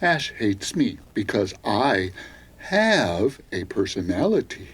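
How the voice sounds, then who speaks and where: A man's voice: fairly low pitch, big pitch swings, slow pace, fairly bright tone, breathy edge, exaggerated voice. A man playing a laid-back, teasing late-night seventies music DJ with a jokey, self-satisfied manner.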